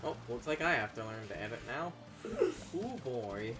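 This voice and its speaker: A young man co-hosting a let's play, chatting casually in halting phrases. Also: mid-range voice, animated, pushed and bright. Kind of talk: casual gaming commentary. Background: video game music.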